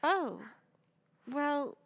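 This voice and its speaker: An actress playing a timid, tender younger sister, soft and concerned, in an old-time radio drama. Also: female voice, high voice, sing-song, drawn-out words, creaky, no room sound, highs cut off, exaggerated voice.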